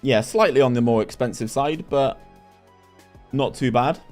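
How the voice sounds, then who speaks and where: A man's voice: mid-range voice, a little slow, a little mellow, faint music behind. A young man, chatting casually on a stream and reading chat aloud with lots of restarts. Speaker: gaming streamer.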